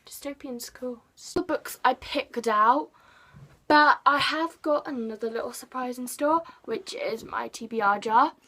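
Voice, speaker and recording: Brief: English woman, book vlogger, bright high voice, rambly and self-mocking. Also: unhurried. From England. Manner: chatty, rambling, self-deprecating, playful. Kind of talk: casual chat to camera.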